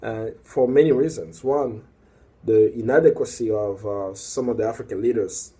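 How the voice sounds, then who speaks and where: Young man from the Congo, huskyish low voice, fillers and restarts, earnest solo monologue. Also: normal speed, mellow, slightly rough. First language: Swahili or Lingala. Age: young adult. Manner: hesitant, many fillers, grows emphatic. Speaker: activist. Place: indoors at night, working.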